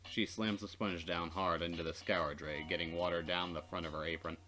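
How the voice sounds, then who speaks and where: A man reading a visual novel aloud as a Let's Play narrator, expressive and playfully dramatic. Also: low-pitched, a little fast.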